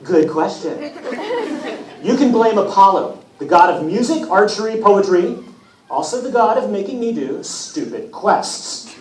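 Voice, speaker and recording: An echoey, distant recording of a man reading as a wry teenage boy demigod telling his story. The voice is fairly high and swings widely in pitch, with self-deprecating, put-upon humour. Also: big pitch swings, unhurried, a little mellow. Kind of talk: first-person storybook reading. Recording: echoey room from far off, hissy noise.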